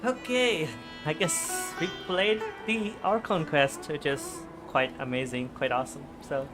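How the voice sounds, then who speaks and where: A game livestreamer of unclear sex chatting casually and sounding tired, with loud music behind the voice. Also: mid-range voice, a little slow, poor audio.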